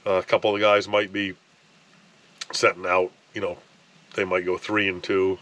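A man's voice: deep voice, normal speed. A man in his thirties talking casually to camera from inside an ice fishing tent, rambling with restarts and filler words. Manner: relaxed, rambling, frequent restarts and "you know". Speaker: fishing vlogger. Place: ice fishing tent on a frozen lake, wind outside.

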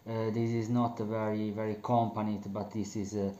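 A man's voice: fairly low pitch, level tone, moderate pace, warm tone. A young man from Italy with an Italian accent, hosting a casual wrestling talk in English, with many fillers and restarts. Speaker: wrestling commentary host. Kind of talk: casual solo talk to camera. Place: small bedroom, indoors. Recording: roomy, dull lo-fi webcam sound.

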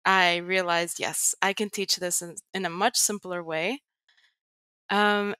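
A young woman, Greek-born with a slight American accent, speaking as an interview guest over a video call headset, measured and pausing with frequent ums. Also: medium pitch, bright, forceful tone, clear, resonant tone, dry recording. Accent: American-leaning international. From Greece. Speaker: guest, tech writer and educator. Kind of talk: casual interview talk. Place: home room with plain wall.

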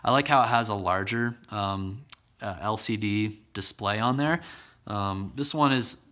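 A man's voice: on the low side, unhurried, fairly bright tone. A man in his thirties hosting a casual gear review to camera, talking conversationally with fillers and restarts, recorded in a small studio room on a camera-mounted mic. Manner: conversational, many ums and restarts, enthusiastic. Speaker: church media channel host. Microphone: camera-mounted microphone.

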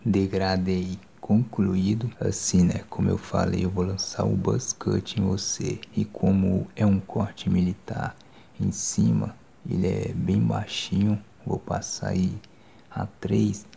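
A young Brazilian man in his twenties doing a calm, informal ASMR barber roleplay, hushed and reassuring, close to the mic. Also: low-pitched, takes it slowly, creaky, slight background noise. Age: 20s. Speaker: ASMR creator playing a barber. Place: small decorated bedroom indoors. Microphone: phone microphone held close.

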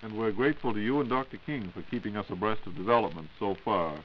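An American man hosting a scripted 1940s radio question-and-answer show in a formal, measured announcer's delivery. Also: on the low side. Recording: dull, noisy 1940s radio recording.

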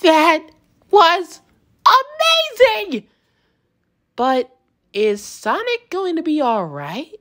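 A woman voicing an excitable, friendly young female lemur villager in an amateur comic dub, bubbly and eager. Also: very high-pitched, drawn-out words, pauses often, pitched above the performer's own voice, full character voice. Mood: amazed.

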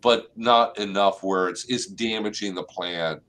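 A middle-aged man hosting a live online plant clinic from an office over a video call, explaining calmly and saying y'all. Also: fairly low pitch, a little slow. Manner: relaxed, explanatory, uses y'all. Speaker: extension agent and plant clinic host. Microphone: webcam on a video call.

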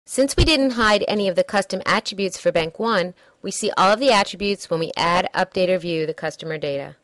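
A woman reading a scripted software demo narration in a measured, instructional way. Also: lowish voice, no echo, poor audio.

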